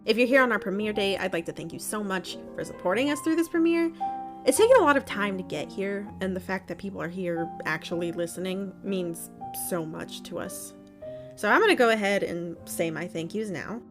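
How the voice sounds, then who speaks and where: Podcast host: chatty woman, mid-pitched, informal announcements to listeners, guitar music underneath. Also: lively intonation, a little fast.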